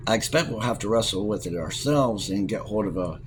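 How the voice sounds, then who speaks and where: A man reading aloud and voicing an eager, restless gentleman with big plans, who talks with a brisk, upper-class enthusiasm. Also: on the low side, expressive, slight background noise, close to an everyday voice.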